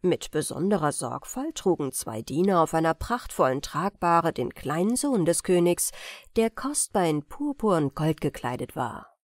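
A woman reading a fairy tale aloud as an expressive audiobook narrator. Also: low-pitched, moderate pace, no room sound, clean studio sound.

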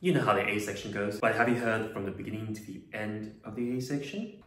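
A young man hosting a comedic music lecture at home, playful and exaggerated, swinging between shouting and mumbling. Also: on the low side, fairly quick. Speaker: music teacher and comedy host. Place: small room at home. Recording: echoey room, mic at a distance, music underneath.

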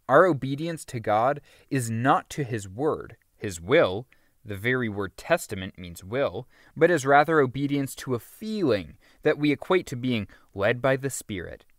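Expressive man reading a religious audiobook. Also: mid-range voice, dry recording, studio quality. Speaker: audiobook narrator. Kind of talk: scripted audiobook reading of a religious teaching book.

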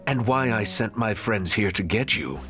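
A man's voice: fairly low pitch, animated, normal speed, music in the background, lightly acted. An actor playing a smug, scheming male fake superhero leader, cold and sure of himself. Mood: menacing. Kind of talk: video game cutscene acting.

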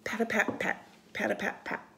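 A woman reading a picture book aloud for storytime, expressive and sing-song, with casual asides. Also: normal speed, a little hiss or hum. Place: indoors, room by a window.

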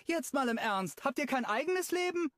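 Guy doing a chatty young woman, way up high in pitch, dry studio sound. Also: animated, moderate pace, no echo. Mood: exasperated. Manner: raised, bright-sounding delivery. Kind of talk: audiobook dialogue reading.

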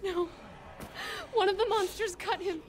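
A woman's voice: very high-pitched, steady tone, measured pace. An actress playing a frightened young village woman who pleads urgently for her wounded father. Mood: worried. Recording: game cutscene with noisy ambience.

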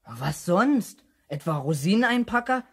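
A man's voice: sits high, big pitch swings, unhurried, slightly rough, exaggerated voice. Earnest boy detective. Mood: earnest, restless. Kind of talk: 1970s German children's radio play acting.

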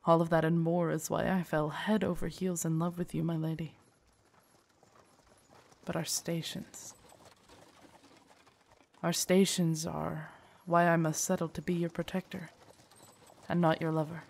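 A woman acting low-voiced, devoted lady knight. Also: level tone, measured pace, creaky, pauses often, a little mellow. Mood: tender, confessing. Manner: formal, courtly, hesitant and stammering when flustered. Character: devoted female knight, tender, earnest, shyly lovestruck royal guard. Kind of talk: roleplay audio drama, intimate scripted monologue.

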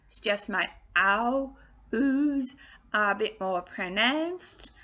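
A woman's voice: fairly high pitch, animated, slow, sharp tone. A woman in her sixties with an Australian accent carrying a trace of English, teaching singing from a small room at home and carefully enunciating vowel words into a laptop webcam. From England, raised in Australia. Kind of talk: home video singing lesson.

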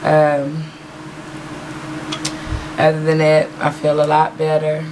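Hoarse low-voiced woman, chatty webcam vlog. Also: monotone, takes it slowly, noisy background. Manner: chatty, rambling with many fillers. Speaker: beauty vlogger. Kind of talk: casual haul video chat. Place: small room at home, patterned backdrop. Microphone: webcam in front of her.

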